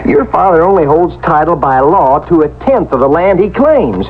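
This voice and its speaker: A man's voice: on the high side, big pitch swings, normal speed. An American man acting a jealous, blustering young rancher in a radio western, insistent in manner. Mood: exasperated. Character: hot-headed, jealous young rancher suitor. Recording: muffled, noisy 1970s radio broadcast.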